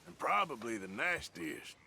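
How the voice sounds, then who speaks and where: A man playing a gruff, sardonic American outlaw cowboy in a video game, drawling, dry and blunt. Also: mid-range voice, sing-song, moderate pace, pushed and bright, slight background noise, exaggerated voice. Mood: confrontational.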